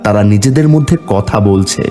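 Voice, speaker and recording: A man's voice: lowish voice, normal speed, warm tone. A man narrating a scripted moral folk tale as a storyteller.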